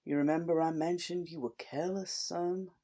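A man voicing a big, calm middle-aged sheriff who speaks in a slow, measured drawl with quiet menace. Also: a little high, lightly acted.

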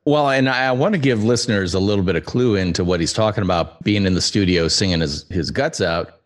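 A man with a fairly low voice hosting an interview podcast. He asks questions with animated, playful energy, building up his guest's story as he goes. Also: a little fast. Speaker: podcast host.

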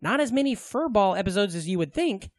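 High-voiced man joking on a podcast. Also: animated, brisk, dry recording. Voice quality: slight lisp. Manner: crude, jokey, rambling. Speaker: podcast co-host. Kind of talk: casual comedic chat.

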